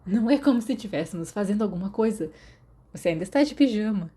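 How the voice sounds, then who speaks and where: Woman voicing a cheeky, lazy teenage boy, mellow. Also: medium pitch, animated, quick pace, lightly acted. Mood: teasing, amused. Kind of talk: audiobook character dialogue.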